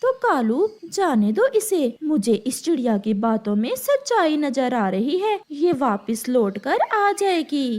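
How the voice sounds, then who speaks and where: A woman voicing a stern but softening female hunter bird in a cartoon, firm and measured. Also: high-pitched, very animated, voice pushed down, exaggerated voice.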